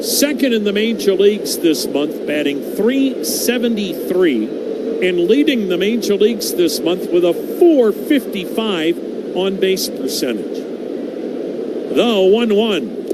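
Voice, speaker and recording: A man calling live baseball play-by-play for radio, with folksy, conversational asides between pitches. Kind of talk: live radio sports commentary. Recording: heavy steady noise behind the voice.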